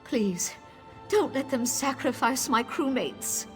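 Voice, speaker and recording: A woman's voice: high voice, expressive, slow. An actress playing a frightened, urgent female sailor who escaped captivity in a fantasy video game. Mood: pleading. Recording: game music behind the voice.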